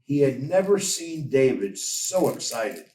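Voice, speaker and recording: A man reading a story aloud in a measured, expressive storytelling manner. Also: medium pitch. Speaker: story reader. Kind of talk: scripted storybook narration. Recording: roomy echo, distant from mic.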